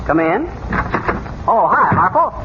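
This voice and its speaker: Scheming small-town husband, blustery yet good-natured, folksy, swooping delivery, muffled vintage radio comedy recording. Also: male voice, sits high, sing-song, slow pace, full character voice. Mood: breezy. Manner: folksy, muttering to himself, wisecracking. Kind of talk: 1930s radio comedy acting. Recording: very noisy, muffled 1930s radio broadcast.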